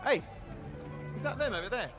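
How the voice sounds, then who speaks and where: An actor playing a sarcastic, wisecracking male sidekick with an animated delivery in a video game cutscene. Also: extremely high pitch, a little fast. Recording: game audio with music score.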